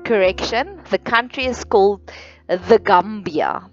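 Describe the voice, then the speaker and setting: Slow, deliberate South African woman podcaster. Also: mid-range voice, animated. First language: Afrikaans. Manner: deliberate, careful delivery with occasional restarts. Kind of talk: solo podcast monologue. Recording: dull lo-fi sound with faint music.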